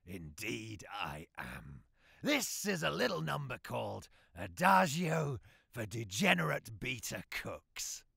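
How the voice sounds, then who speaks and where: A man's voice: medium pitch, wildly swooping pitch, takes it slowly, creaky, pushed and bright, heavily acted. A man voicing a grandiose, zealous reactionary author in a cartoon talk show, with a pompous, verbose, declamatory manner.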